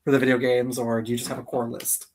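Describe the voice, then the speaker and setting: A man's voice: mid-range voice, level tone, fast. A man hosting a casual geek countdown stream, talking in an informal, emphatic, opinionated way. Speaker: host of a geek countdown livestream.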